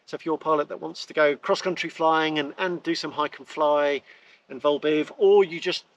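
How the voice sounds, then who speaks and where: Middle-aged male reviewer, slightly high voice, chatty and enthusiastic, recorded outdoors in a garden. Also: fairly even intonation, moderate pace, a little hiss or hum. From England. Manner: chatty, enthusiastic, frequent restarts. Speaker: gear reviewer. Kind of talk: casual product review.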